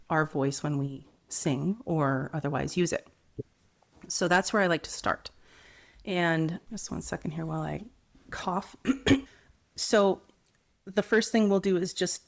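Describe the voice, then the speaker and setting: A woman vocal coach, a little hoarse after a cold, calmly guiding listeners through breathing exercises. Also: on the low side. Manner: calm, instructive, gently encouraging. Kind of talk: guided breathing lesson.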